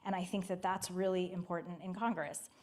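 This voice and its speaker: A woman who is a physician and psychiatrist, giving an earnest, measured closing statement as a congressional candidate. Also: fairly low pitch, flat delivery, darkish tone.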